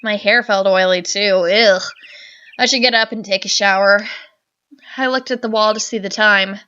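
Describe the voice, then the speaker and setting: A woman's voice: medium pitch, fairly even intonation, normal speed, bright, forceful tone, clean tone, highs cut off. A young woman narrating a visual novel as a let's play host, reading dramatically and dropping sarcastic quips. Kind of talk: reading game text aloud with wry asides.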